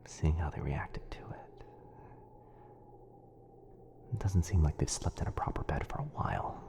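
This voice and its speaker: A man softly whispering an ASMR boyfriend roleplay as a kind, caring wizard, in a soothing, hushed manner. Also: extremely low pitch, brisk, gravelly. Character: kind, caring, smitten young male wizard.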